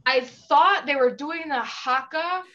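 Woman, thirties, high voice, bubbly interviewer, dull lo-fi video-call audio. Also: takes it slowly, fairly bright tone. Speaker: interviewer, host.

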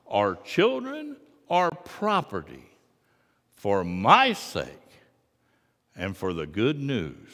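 A middle-aged man preaching a sermon in a large church sanctuary, moving from quiet asides to loud, fervent passages. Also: mid-range voice, huge pitch swings, very slow, pauses often, big-room sound. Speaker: pastor.

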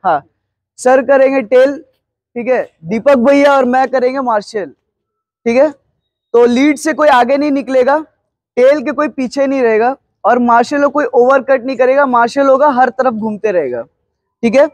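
A man's voice: extremely high pitch, normal speed, no room sound. A man, a ride marshal giving a group safety briefing and checking in often with the riders. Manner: instructive, checks in with "theek hai".

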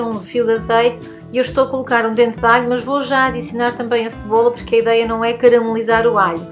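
A woman in her thirties with a European Portuguese accent, hosting a cooking tutorial and talking directly to her viewers in a friendly, instructive way. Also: on the high side. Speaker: cooking channel host. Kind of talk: recipe tutorial voice-over. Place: home room with bookshelves.

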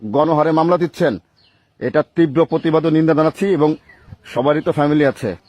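A man's voice: on the high side, a little fast, darkish tone, dry recording. A Bangladeshi man on a recorded phone call, delivering stern accusations in a declamatory, speech-like way as a group's leader. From Gopalganj region, Bangladesh.

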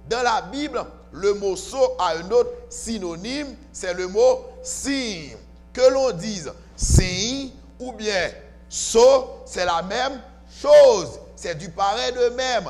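A man preaching to a congregation as pastor, emphatic and often asking questions, on a handheld mic in a church. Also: sits high. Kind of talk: sermon. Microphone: handheld microphone, filmed from far camera. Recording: church hall reverb with faint music.

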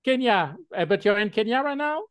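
Guy hosting a video call, kinda high voice, dry sound, lots of uhs and restarts. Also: animated, a little fast, clean tone, no echo. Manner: hesitant, many fillers and restarts. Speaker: meeting co-host. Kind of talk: hosting an online video meeting.

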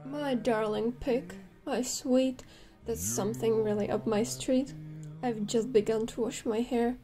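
Woman doing a posh young heroine, highish voice, sweet but cool, kids rhyme over music. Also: steady tone, unhurried. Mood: breezy, sweet. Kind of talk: children's verse storytelling with music.

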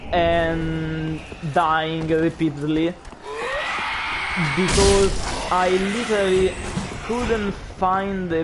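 Italian guy streaming a game, slow and chatty, bit high voice, hiss in the background. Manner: chatty, reacts aloud to the game, apologizes for pronunciation. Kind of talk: gameplay commentary.

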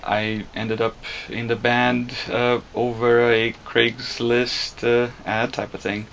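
A man's voice: medium pitch, slow, dull audio, slight background noise. A man from Brazil, a band's vocalist, talking casually and a little hesitantly in an interview in a music studio. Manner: relaxed, hesitant with fillers. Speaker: band vocalist.

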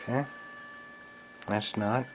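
Man, slow delivery, terse step-by-step tech demo, electronics hobbyist, workshop camera audio, noticeable hum and noise. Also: on the low side, fairly even intonation. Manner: terse, fragmentary step-by-step phrasing. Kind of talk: hands-on technical demonstration, off the cuff. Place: small cluttered indoor electronics workshop. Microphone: handheld camera microphone. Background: hum and test tone from audio gear.

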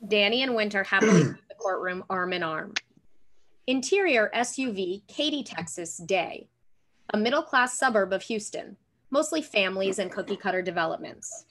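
A woman's voice: medium pitch, expressive, moderate pace, background noise. A young adult woman reading screenplay stage directions as scripted narration on a video call. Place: room at home, video call.

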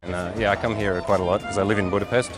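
Low-voiced man chatting up strangers. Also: steady tone, rapid-fire. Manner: upbeat, chatty and teasing. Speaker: street approach presenter. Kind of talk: casual street chat. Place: outdoors on a city street. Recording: street clip with music over it.

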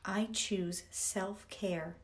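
A woman reading scripted guided meditation affirmations in a deliberate, measured way, in short phrases with pauses. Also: mid-range voice, fairly even intonation.

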